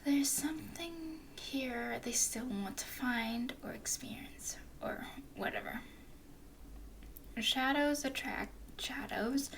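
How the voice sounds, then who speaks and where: A woman voicing a flirty, teasing female werewolf explorer in an ASMR roleplay, speaking in a soft whisper with a playful drawl. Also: high voice, slow, full character voice.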